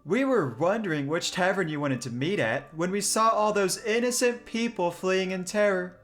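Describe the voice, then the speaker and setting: A woman's voice: fairly low pitch, expressive, moderate pace, faint music behind, close to an everyday voice. A woman playing a vain, haughty bard in a fantasy audio drama, theatrical, self-important and dryly sarcastic. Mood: breezy sarcasm.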